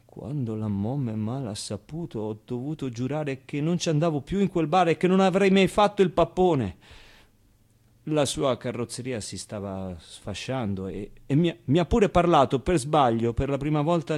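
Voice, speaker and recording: An actor playing a wistful, streetwise man who recalls his orphaned boyhood in an expressive, colloquial theatrical monologue. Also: mid-range voice, natural voice. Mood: nostalgic. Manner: expressive, clownish, with pauses and repeated phrases. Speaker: stage actor. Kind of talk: theatrical monologue, colloquial first-person storytelling.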